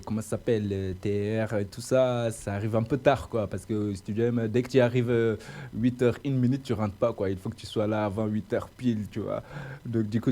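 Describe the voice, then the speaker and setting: Mellow-voiced man talking earnestly, casual. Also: on the low side. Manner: earnest, colloquial, heavy use of "tu vois" and "quoi" fillers. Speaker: radio presenter interviewed as guest. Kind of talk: casual conversational interview.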